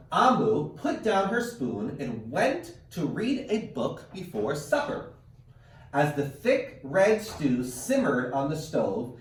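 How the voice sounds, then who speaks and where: A man's voice: mid-range voice, a little mellow. A man teaching through a virtual read-aloud, chatting encouragingly and expressively with children and parents as he presents a picture book. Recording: roomy, distant mic with faint noise.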